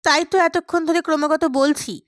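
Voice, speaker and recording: A woman's voice: high-pitched, steady tone, normal speed, no room sound, clean studio sound, close to an everyday voice. A woman voicing a passionate, stubborn young man who speaks earnestly and emphatically to his dear friend in an audiobook. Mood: impassioned, hurt.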